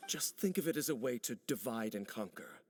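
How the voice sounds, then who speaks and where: A man voicing a serious, commanding warrior in a video game, measured and terse. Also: mid-range voice, expressive, slight background noise, natural voice. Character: grave, guarded male warrior, commanding and watchful.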